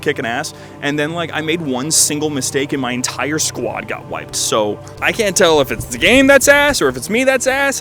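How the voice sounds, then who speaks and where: A man giving casual, joking commentary over gameplay, rambling and slangy with a self-deprecating manner. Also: mid-range voice. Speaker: video game reviewer and host.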